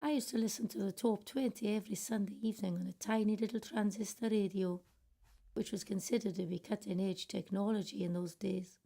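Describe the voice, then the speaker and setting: A woman's voice: medium pitch, fairly even intonation, brisk, creaky, dark tone, breathy edge. A woman acting a wistful, regretful middle-aged British woman in a confiding radio play monologue. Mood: wistful, nostalgic. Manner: reflective, confiding storytelling. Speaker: actor in a student radio play. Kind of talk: first-person radio drama monologue.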